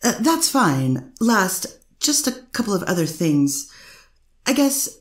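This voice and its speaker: A woman's voice: medium pitch, big pitch swings, slow pace, roomy sound. A woman reading the part of a defense attorney cross-examining a witness, probing with frequent fillers. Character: persistent female defense lawyer. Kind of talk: amateur readers theater reading of a court transcript.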